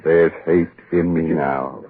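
An actor playing a vengeful corpse back from the grave, speaking slowly and solemnly with menace in 1940s radio horror style. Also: male voice, steady tone, full character voice. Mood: hateful, vengeful. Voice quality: gravelly, hoarse, very deep. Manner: slow, solemn, menacing incantation. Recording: muffled tinny 1940s radio recording.

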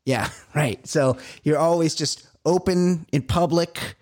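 A man's voice: on the high side, slow. A man from California co-hosting a comedy podcast, chatting casually and telling rambling stories full of fillers. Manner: rambling, animated storytelling with many fillers. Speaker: podcast co-host. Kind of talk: casual chat.

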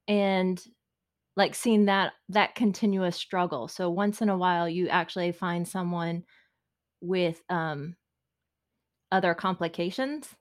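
A woman guest in an interview, talking casually with many "like" fillers and restarts. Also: on the low side. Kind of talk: conversational interview.

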